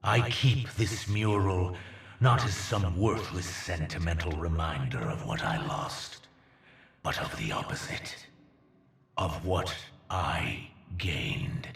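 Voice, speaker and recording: A man playing a proud, menacing alien conqueror in a video game, speaking in a grandiose, theatrical, deliberate way with hushed emphasis. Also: low-pitched, expressive, creaky, reverberant, distant mic, exaggerated voice. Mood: reverent, fervent. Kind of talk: dramatic video game villain monologue.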